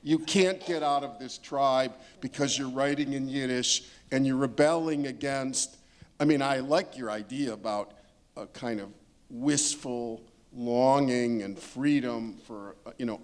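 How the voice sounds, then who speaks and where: Man, medium pitch, informal panelist, discursive, anecdotal, rephrases mid-sentence. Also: measured pace.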